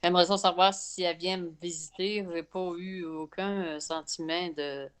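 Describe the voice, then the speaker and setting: A woman from Canada with a Québécois accent speaks as a caller over a video call. Her voice is low and smooth, and she talks in a halting, plain-spoken way. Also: steady tone. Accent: Québécois French. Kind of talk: telling her story on a call.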